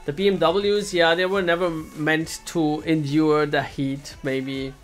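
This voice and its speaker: A man's voice: on the high side, little pitch movement, slow pace, faint music behind. A man from Bavaria, a native German speaker, chatting casually on a game stream, often restarting sentences and chuckling.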